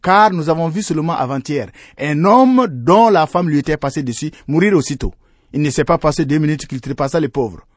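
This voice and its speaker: Man playing a scheming, insinuating female hyena, high-pitched. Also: animated, a little fast, no echo, studio quality. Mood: outraged. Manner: exclamatory, insinuating. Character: scheming female hyena, flattering, gossiping tattletale.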